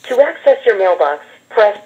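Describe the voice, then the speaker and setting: A woman's voice: medium pitch, sing-song, normal speed, darkish tone. An American woman giving scripted automated voicemail menu prompts in short phrases over a telephone. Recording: dull telephone system playback.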